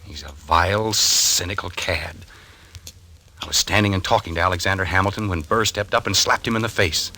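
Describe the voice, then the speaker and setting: An actor playing an irritable, obsessed husband haunted by dreams, defensive and snappish, in old-time radio drama style. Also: male voice, deep voice, a little fast, sharp tone, heavily acted. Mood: aggrieved.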